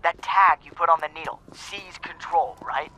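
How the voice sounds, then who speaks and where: A man's voice: on the high side, steady tone, normal speed, pushed and bright, slight background noise, poor audio. A man playing a nervous, nerdy young fan and tech tinkerer in a video game, hesitant and stammering, with an American accent. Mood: eager, nervous. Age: young adult. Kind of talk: video game voice acting, phone conversation.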